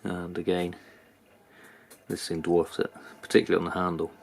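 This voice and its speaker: A man with a British accent giving a casual, unscripted product review in a halting manner. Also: low voice, completely flat delivery, vocal fry. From United Kingdom. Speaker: knife reviewer. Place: indoors at a workbench.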